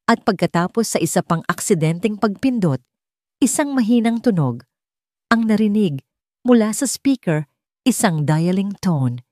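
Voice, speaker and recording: A woman's voice: mid-range voice, expressive, unhurried, a little mellow, no room sound. Filipino woman narrating, dry close sound. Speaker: audiobook narrator. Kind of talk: scripted dramatic story narration.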